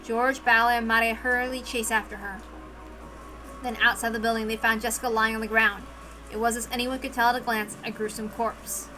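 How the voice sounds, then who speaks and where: Woman reading a visual novel out loud, dramatic narration, highish voice, music under it. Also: little pitch movement, brisk, low-quality recording.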